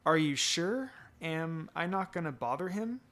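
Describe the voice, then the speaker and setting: A man's voice: on the high side, lively intonation, measured pace, fairly bright tone. A man voicing a polite, hesitant, caring young ninja girl in a fan fiction reading.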